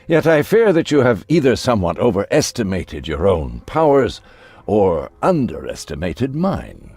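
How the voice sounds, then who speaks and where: An actor playing an aristocratic elder vampire villain in a video game, theatrical and mock-courteous, with a gravelly, husky voice. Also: male voice, sits low, darkish tone, dry recording, full character voice. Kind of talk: video game cutscene acting.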